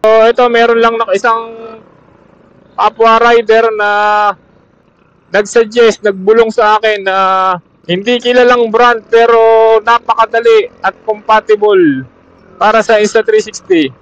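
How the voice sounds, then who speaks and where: High-pitched Filipino man on a muffled helmet mic. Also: steady tone, a little slow, pauses often, fairly bright tone, no room sound. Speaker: motovlogger reviewing gear. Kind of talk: casual vlog chat while riding. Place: on a motorcycle outdoors on a road. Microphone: helmet intercom used as external camera mic. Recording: dull muffled helmet intercom mic over Bluetooth.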